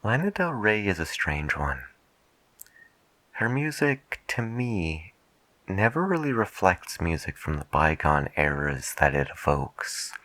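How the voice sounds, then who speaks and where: A man playing a musing late-night radio DJ, soft-spoken and deliberate in an ASMR style. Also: sits low, big pitch swings, dry recording, heavily acted. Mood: musing, hushed. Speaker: radio host.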